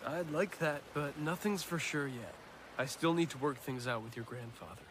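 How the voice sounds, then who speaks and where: A man playing an earnest, kindly young adventurer in an animated film. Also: on the high side, normal speed, background noise, close to an everyday voice. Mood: gentle, reassuring. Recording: long reverb, music underneath.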